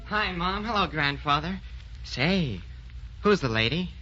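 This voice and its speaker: An actor playing a brash, cheerful young grandson in a sentimental radio drama. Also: male voice, fairly high pitch, animated, measured pace. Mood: breezy, curious. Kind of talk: sentimental old-fashioned radio drama acting. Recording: muffled old radio recording with clear music.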